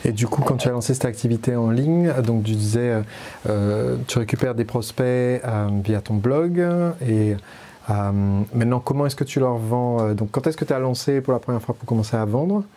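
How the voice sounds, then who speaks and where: A man's voice: mid-range voice, normal speed, warm tone. A man hosting an interview, asking long stacked questions with fillers. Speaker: interviewer and podcast host.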